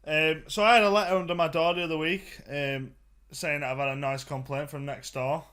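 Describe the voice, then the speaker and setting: A young man from the UK co-hosting a casual podcast at home in his flat, loud and full of swearing, a little tipsy. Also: on the high side, brisk, clean tone. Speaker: podcast co-host. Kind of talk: crude casual banter. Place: flat, indoors.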